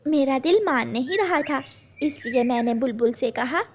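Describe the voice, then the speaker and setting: Lady doing anxious loving mom sparrow telling a story, really high voice, slight noise, cartoon. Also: moderate pace, close to an everyday voice. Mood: plaintive. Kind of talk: Hindi children's cartoon storytelling.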